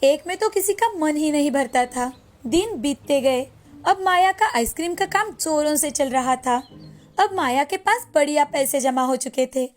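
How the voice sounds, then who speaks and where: High-voiced woman storyteller. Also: animated, moderate pace. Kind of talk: scripted narration of a moral story. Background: music.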